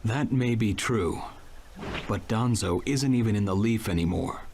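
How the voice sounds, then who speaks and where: A man voicing a calm, weary adult ninja teacher in a game cutscene, low-key and thoughtful. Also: unhurried, a little hiss or hum. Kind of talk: game cutscene English dub.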